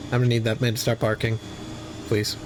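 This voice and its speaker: A man's voice: mid-range voice, little pitch movement, a little fast, background noise. A man giving casual, wry, sweary commentary and reactions on a horror series. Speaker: video essayist and reactor. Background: background music.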